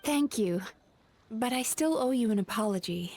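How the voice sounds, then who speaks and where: A woman voicing a gentle young female dream-eating spirit in a video game, soft-spoken, polite and apologetic. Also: fairly high pitch, expressive, normal speed.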